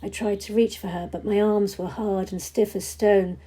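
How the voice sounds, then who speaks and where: A woman narrating a story expressively, with dramatic, measured phrasing that is often hushed. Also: mid-range voice, fairly even intonation, husky. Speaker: story reader. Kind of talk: expressive audiobook-style narration.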